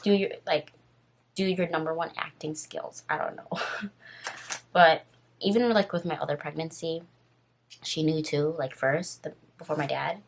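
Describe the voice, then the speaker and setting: A woman in her twenties with a raspy voice, casually telling a story on her vlog while doing her makeup, rambling and full of fillers. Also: deep voice, fairly even intonation, moderate pace, long pauses, slight background noise. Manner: rambling, filler-heavy and nervous. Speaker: vlogger.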